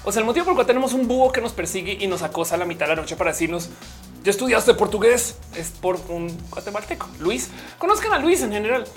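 A woman's voice: medium pitch, lively intonation, fast talker, faint music behind. A young woman from Colombia who speaks, hosting a casual livestream, chatty and playful as she reads comments and laughs often. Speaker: livestream host. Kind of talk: casual live-stream chat reading comments.

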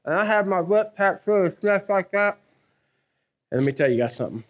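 A man, preaching a sermon in a conversational, animated way, asking his listeners questions and telling stories from his own life. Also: sits high, fairly quick. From American South. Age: 30s. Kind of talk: sermon with personal storytelling.

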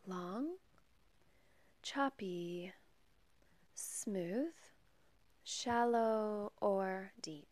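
Slow, soft-spoken woman guiding meditation. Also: medium pitch, no echo. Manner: calm, measured, gentle, with long pauses. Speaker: meditation guide and podcast host. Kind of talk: guided meditation.

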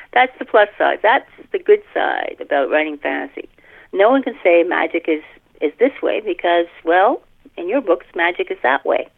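Gravelly Canadian woman on a phone line. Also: fairly low pitch, normal speed, no room sound. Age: 50s. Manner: animated, self-deprecating storytelling. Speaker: fantasy author, podcast guest. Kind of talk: casual interview chat. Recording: tinny telephone-like line.

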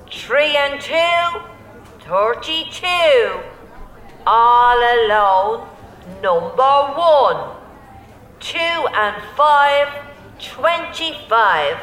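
A rousing Irish bingo caller announces in a singsong, projected style. The voice is bright and high, and rings around a roomy hall. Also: female voice, big pitch swings, drawn-out words, pauses often, exaggerated voice. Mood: announcing. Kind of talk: audio drama acting.

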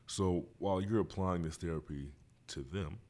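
A man's voice: low-pitched, moderate pace, a little mellow. A man hosting a podcast, asking questions in an easygoing way with joking asides. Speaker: podcast host. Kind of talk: casual interview chat.

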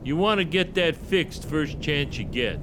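A friendly highway trooper, plain-spoken and protective, as heard in an old radio drama. His voice is on the higher side, and there is clear noise and vehicle sound behind him. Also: expressive, measured pace. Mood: helpful, cautioning.

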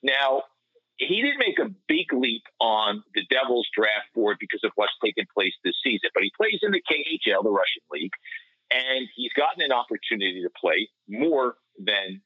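A man's voice: mid-range voice, very animated, normal speed, no echo. A man giving conversational sports analysis over a remote line, explaining at length with small digressions, like a broadcaster on a panel. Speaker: hockey radio play-by-play announcer, podcast panelist. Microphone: remote call. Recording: dull lo-fi call-in line.

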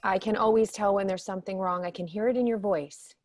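A woman playing a caring, perceptive middle-aged mother in a radio drama, gentle and concerned. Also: mid-range voice, fairly even intonation, fairly quick, dry recording, studio quality, lightly acted.

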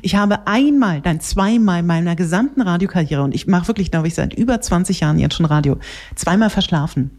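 A middle-aged woman co-hosting a radio show in casual, emphatic, expressive chat. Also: lowish voice, brisk. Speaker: radio host.

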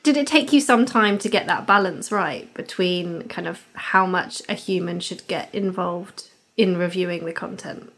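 A woman's voice: fairly low pitch, normal speed, fairly bright tone. A woman co-hosting an interview podcast, asking questions in a conversational way with small restarts. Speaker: podcast co-host.

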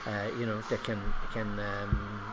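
An older man with an Irish Cork accent, an athletics coach recalling his start in an interview, measured and reflective. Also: on the low side, monotone, dull audio, background noise. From Ireland. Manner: measured, reflective, with many fillers. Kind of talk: interview storytelling.

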